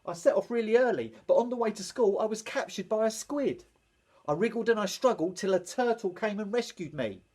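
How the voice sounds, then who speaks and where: A man voicing a small, excitable boy fish who earnestly tells tall tales in a storybook. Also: sits high, fairly quick, breathy edge, voice pushed up. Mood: excited, apologetic.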